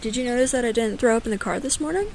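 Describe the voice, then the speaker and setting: A woman's voice: fairly high pitch, rapid-fire, creaky, noisy background. A woman playing a cheeky, blunt, sweary teenage daughter in an audio drama.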